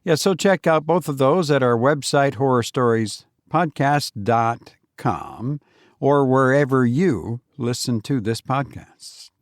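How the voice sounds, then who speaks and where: A male podcast host talks slowly and informally, as if telling a story of his own. His delivery is halting and hesitant, with a mid-range pitch. The sound is close and dry. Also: lively intonation, no echo. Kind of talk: informal podcast hosting and first-person storytelling.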